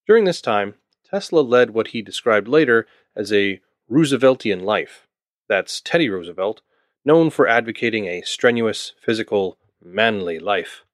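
A man's voice: fairly low pitch, lively intonation, moderate pace, no room sound, studio quality. A man from Ontario narrating a scripted history podcast, with wry asides to the listener. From Ontario, Canada. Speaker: podcast host.

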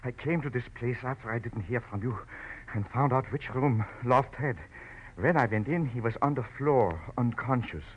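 An agitated, pleading man of mother tongue, played by an actor in a vintage radio detective drama. His halting words come through a muffled recording with plenty of noise. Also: medium pitch, close to an everyday voice. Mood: shaken. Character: anxious, pleading, deceitful male client with European air. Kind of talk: old-time radio drama acting. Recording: dull, noisy old radio recording.

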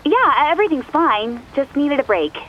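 A young adult daughter chats casually and affectionately with a parent in an audio drama. Her voice is fairly high, slightly dulled as on a phone, with faint noise behind. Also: very animated, moderate pace. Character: grown child phoning mother, casual and affectionate. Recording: muffled phone call, faint sirens behind.

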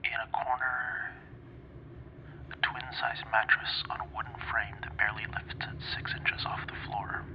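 Man playing hushed, creaky, introspective narrator. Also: medium pitch, level tone, highs cut off, natural voice. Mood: pensive. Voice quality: breathy, creaky whisper. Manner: hushed, deliberate. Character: introspective man searching memory, describing a sparse room. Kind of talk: slow atmospheric audio fiction narration.

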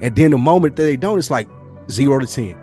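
A man speaks casually as a podcast speaker over music, at a middling pitch. He is an animated storyteller who slips into imagined dialogue and swears freely. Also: fast.

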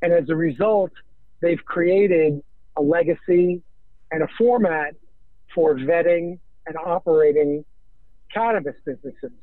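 An American man speaks as an interview guest over a thin, phone-like connection. His voice sits a bit high for a man and sounds dry, with no room around it. He lays out business points deliberately, pausing between short phrases. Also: dry recording. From New York, United States. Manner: measured, deliberate, halting phrasing with pauses. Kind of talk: interview answers on business and finance.

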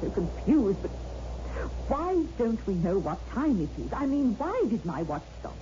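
An actress playing an anxious, suspicious wife in a 1960s radio suspense drama, fretful and earnest in manner, on an old radio recording. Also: female voice, fairly high pitch, sing-song, a little slow, dark tone, highs cut off, slight background noise. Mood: urgent, fearful. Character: anxious, suspicious wife, unsettled traveller on stormy night.

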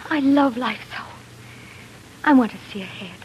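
High-voiced yearning young woman, played by an actress. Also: normal speed, dull audio, a little hiss or hum, lightly acted. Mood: pleading. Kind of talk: 1940s radio acting. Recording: 1940s radio broadcast, faint music.

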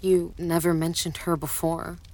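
A woman's voice: fairly low pitch, level tone, measured pace, darkish tone, a little hiss or hum, close to an everyday voice. An actress playing a defiant, challenging enslaved woman plotting rebellion in a fantasy audio drama. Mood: wary, probing. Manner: challenging, intense.

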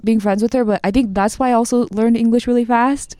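A woman's voice: a little high, fairly even intonation, fast talker, dark tone, dry recording, clean studio sound. A young woman who was born in Korea and speaks Korean as her first language, chatting as a podcast guest. She tells stories with lots of laughter and acts out the voices she quotes. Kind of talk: casual chat.

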